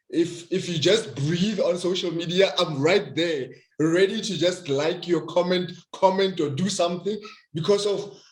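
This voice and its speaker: A man from Africa, hosting an interview over a video call, effusive and enthusiastic with stammered restarts. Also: high-pitched. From South Africa. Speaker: host. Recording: roomy echo.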